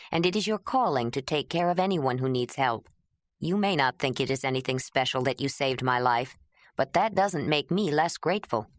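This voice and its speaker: A gentle, patient man, as a woman reader puts him on talks in a calm, low, reassuring voice. He sounds steady and kind. The recording is dry and close. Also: no room sound.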